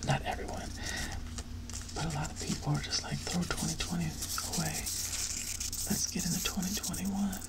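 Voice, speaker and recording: A man giving a soft-spoken, whispered ASMR ramble in a gentle, reflective manner with drawn-out phrasing. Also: sits high, fairly even intonation, rough recording. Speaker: ASMR video creator.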